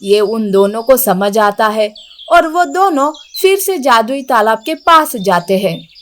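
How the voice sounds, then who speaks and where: A woman's voice: a little high, sing-song, unhurried, a bit bright. A woman narrating a scripted moral story for children.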